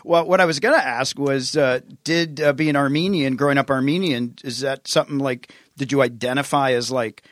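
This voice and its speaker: A man's voice: medium pitch, fairly even intonation, a little fast, hardly any pauses, no room sound, clean studio sound. A man from the American South hosting a podcast, asking casual questions and giving short, easygoing replies. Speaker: podcast host. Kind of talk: casual interview.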